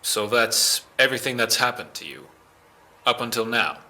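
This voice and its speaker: A man playing a calm, courteous police officer in an amateur audio drama, asking questions in a measured, polite way. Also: mid-range voice, creaky, full character voice. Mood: businesslike.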